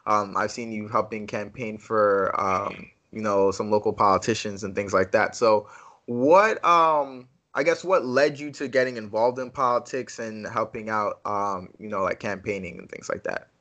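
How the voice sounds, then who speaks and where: A man hosting a casual interview, asking relaxed, rambling questions. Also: lowish voice, normal speed, lo-fi sound. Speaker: host.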